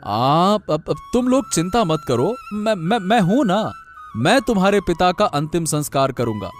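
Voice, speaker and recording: A man's voice: high-pitched, sing-song, moderate pace, faint music behind. A man voicing a kind, anxious, protective family friend in a cartoon story, with a stammering, soothing manner. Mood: consoling. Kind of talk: Hindi cartoon story voice acting.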